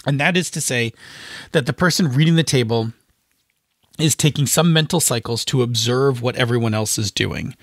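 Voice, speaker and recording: A man's voice: mid-range voice, normal speed, no room sound. A man co-hosting a podcast, explaining things methodically and spelling out definitions into a close microphone. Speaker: podcast co-host.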